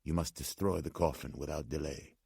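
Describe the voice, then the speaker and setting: A man voicing an anxious, formal old gentleman with a Slavic accent, speaking deliberately as an audiobook character. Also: extremely low pitch, gravelly, breathy edge, no room sound, clean studio sound, exaggerated voice. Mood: grave, insistent. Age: older. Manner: formal, deliberate, agitated but striving to speak calmly.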